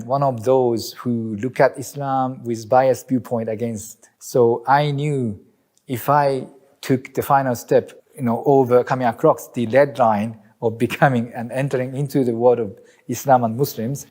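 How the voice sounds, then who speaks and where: A man from Japan with a Japanese accent, an imam speaking earnestly and reflectively in a testimony interview, sometimes pausing to search for words. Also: medium pitch, a little mellow.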